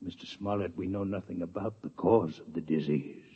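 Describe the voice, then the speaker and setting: A man playing a calm, formal male alien doctor from another planet in a 1950s radio drama, speaking with precise, unhurried diction. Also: low voice, slightly rough. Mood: measured. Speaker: visiting alien scientist. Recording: dull lo-fi 1950s radio broadcast.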